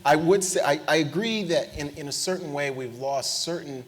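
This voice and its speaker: A man on a panel in a lecture hall, telling a story with lively energy, often adding "right?" and restarting his words. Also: a little high, normal speed. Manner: animated storyteller, tag questions, frequent restarts. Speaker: panelist, historian.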